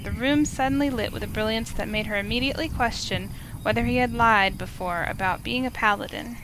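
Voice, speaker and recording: A woman's voice: on the high side, brisk, background noise. A woman reading narration from a visual novel aloud in a storytelling style, as a game streamer.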